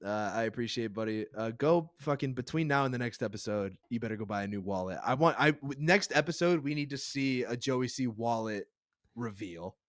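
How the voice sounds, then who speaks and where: A young man hosting a podcast, bantering in a relaxed way and swearing casually. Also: mid-range voice, a little fast, dry recording, studio quality. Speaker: podcast host. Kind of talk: casual chat.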